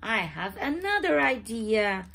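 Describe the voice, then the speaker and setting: Upbeat little piglet girl, bright and bouncy, woman reader doing the voice. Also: fairly high pitch, sing-song, slow pace, vocal fry, exaggerated voice. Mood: eager. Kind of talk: read-aloud storybook voice.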